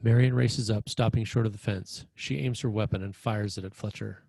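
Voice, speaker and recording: A man's voice: lowish voice, steady tone, normal speed, gravelly, dark tone, no room sound. A man reading scripted stage directions as the narrator of a screenplay table read.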